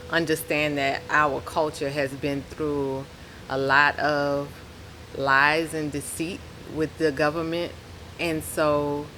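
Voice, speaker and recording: A woman's voice: low voice, a bit bright, rough recording. New Orleans-accented woman chatting over background noise. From New Orleans, Louisiana. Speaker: podcast guest, rapper. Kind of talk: casual interview chat.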